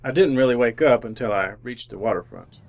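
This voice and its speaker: A man's voice: mid-range voice, a little fast, phone-quality sound, a little hiss or hum, close to an everyday voice. An actor playing an earnest, jaded young ad man who narrates his misadventures in old-time radio drama style. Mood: wry, brooding.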